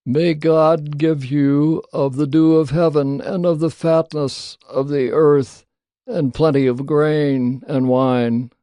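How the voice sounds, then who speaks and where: Man acting a slow, high-voiced old patriarch. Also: fairly even intonation, dark tone, smooth, dry recording. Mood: solemn blessing. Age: older. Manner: slow, solemn, declamatory. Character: frail old blind father, solemn patriarch. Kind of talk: dramatised scripture reading.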